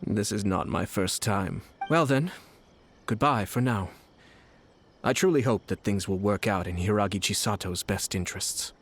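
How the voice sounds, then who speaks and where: A man voicing a poised, shrewd nobleman in a video game, measured, formal and self-assured. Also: fairly low pitch. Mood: calm, calculating. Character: poised, scheming young nobleman, calm and shrewd.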